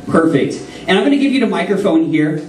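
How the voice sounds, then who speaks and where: A man performs a playful stage routine for a crowd, his voice sitting high and bouncing around a big hall. He jokes and laughs between lines, sounding distant from the mic. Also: lively intonation, fast, a little mellow. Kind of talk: live stage routine with audience. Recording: roomy hall sound from far off.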